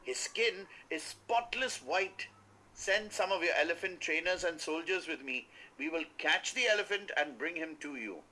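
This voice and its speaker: A man voicing a frightened lost man who pleads loudly, later turning eager and greedy in a storybook reading. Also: a little high, normal speed, voice pushed up, rough recording. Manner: wailing, pleading, then eager and flattering.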